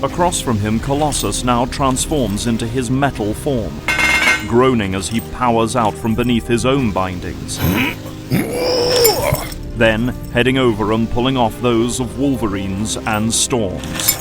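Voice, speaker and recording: A man's voice: medium pitch, a little slow, over loud music. A man delivering dramatic scripted narration for an audio drama.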